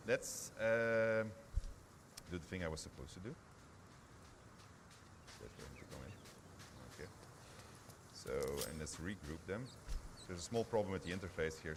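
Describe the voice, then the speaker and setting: A man's voice: sits low, background noise. A man giving an informal live gameplay demo as a developer, hesitant and thinking aloud as he goes. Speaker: game developer presenting a demo. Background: loud music.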